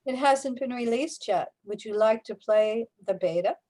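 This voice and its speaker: A wry female companion in cosmic limbo, played by an actress for sci-fi radio. Her voice is a little high, and she likes to tease. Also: normal speed, mellow, natural voice. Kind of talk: scripted sci-fi radio drama.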